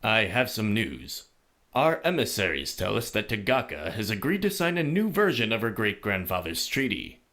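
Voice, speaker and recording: An actor playing a calm, shrewd older male mentor in a visual novel, speaking in a measured, formal way. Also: on the low side, exaggerated voice. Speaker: teacher. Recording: music behind the voice.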